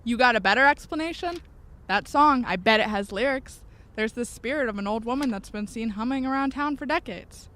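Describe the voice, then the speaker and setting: Stern, high-voiced occult-obsessed goth woman played by an actress. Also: fairly quick, a bit bright, natural voice. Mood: insistent, ominous. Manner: earnest, ominous, insistent. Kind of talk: audio drama dialogue.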